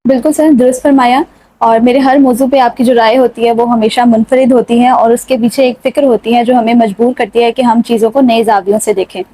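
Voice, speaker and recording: A woman's voice: on the high side, darkish tone, dry recording, low-quality recording. A woman with a Pakistani accent hosting a talk show in a respectful, formal presenting style. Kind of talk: polite programme presenting.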